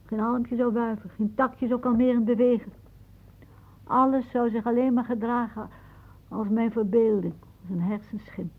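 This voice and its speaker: Musing old woman, wry, theatrical, husky, radio drama, muffled narrow-band recording. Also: on the high side. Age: older. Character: wry, imaginative old aunt musing in her garden. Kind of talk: Dutch radio play acting.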